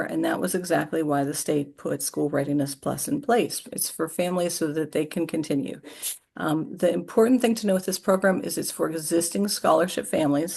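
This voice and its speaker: Low, gravelly woman presenting reassuringly. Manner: warm, reassuring, earnest, repeats key points. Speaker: early learning coalition staff presenter. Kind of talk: webinar presentation.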